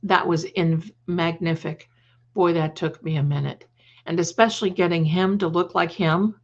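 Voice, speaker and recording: A woman's voice: lowish voice, fairly even intonation, normal speed. Effusive woman livestreamer, slightly muffled audio. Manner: effusive, affectionate endearments to viewers; rambling, repeats phrases for emphasis. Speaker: livestream host and creator. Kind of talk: casual live chat with viewers.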